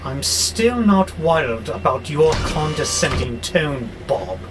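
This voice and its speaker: An actor playing a belligerent, sardonic warehouse robot who grumbles with exasperation in an audio drama. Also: male voice, fairly high pitch, big pitch swings, slow, over music. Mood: grudging. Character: grumpy, belligerent male warehouse robot, war-hungry, wary.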